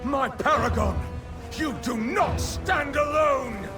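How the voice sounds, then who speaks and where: An actor playing a loyal, valiant winged warrior in a video game, earnest and declamatory. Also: male voice, extremely high pitch, takes it slowly. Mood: battle fervor. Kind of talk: video game heroic acting. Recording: distant voice under music.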